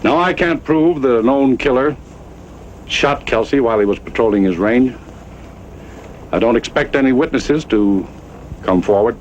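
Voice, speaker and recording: An actor playing a stern, proud old American cattle baron, grave and deliberate, in a Western radio drama. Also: male voice, medium pitch, a little mellow, lightly acted. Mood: grave accusation. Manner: grave, deliberate, plain-spoken. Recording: muffled old radio recording, faint noise.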